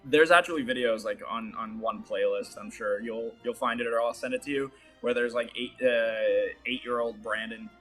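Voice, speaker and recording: A man's voice: medium pitch, moderate pace, few pauses, a bit bright, faint music behind. A man from the Boston area whose first language is Portuguese, chatting casually as an interview guest, rambling with lots of fillers and laughs. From Boston area, USA.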